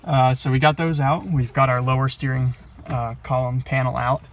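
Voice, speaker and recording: A man's voice: mid-range voice, flat delivery, moderate pace. A man presenting a casual, hands-on installation tutorial, explaining each step in a plain-spoken way. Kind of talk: casual hands-on how-to instruction. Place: in or at a car, working on the dashboard.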